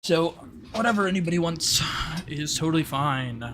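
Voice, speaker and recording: A man's voice: fairly high pitch, expressive, normal speed. A man running a tabletop roleplay session as game master, talking casually and explaining rules off the cuff, with some noise in the recording.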